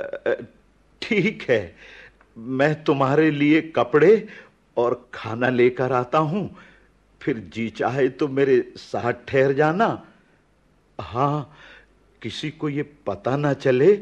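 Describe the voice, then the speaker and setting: Old gardener, slow, fatherly, halting, dull lo-fi radio sound. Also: mid-range voice. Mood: kindly, cautious. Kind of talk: radio play acting. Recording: dull, muffled radio recording.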